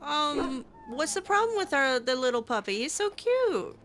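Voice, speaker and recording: A woman's voice: high voice, very animated, normal speed, a bit bright, slight background noise. A woman playing through a video game in character, chatting casually in a hesitant, wry way. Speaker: gameplay roleplayer voicing her character.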